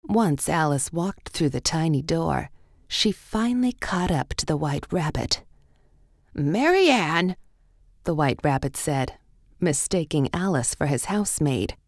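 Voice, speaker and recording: Woman narrating a kids storybook, low voice, slow pace, reads it like a read-along. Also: animated, a little mellow, no echo, studio quality.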